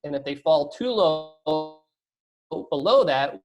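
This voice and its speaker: A man with a somewhat high-pitched voice talks as a guest in a business interview. He explains things in a casual, conversational way. He often punctuates his points with small tag questions. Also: expressive, takes it slowly. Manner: conversational, peppered with "you know" and "right?" tag questions. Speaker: interview guest, real estate investor.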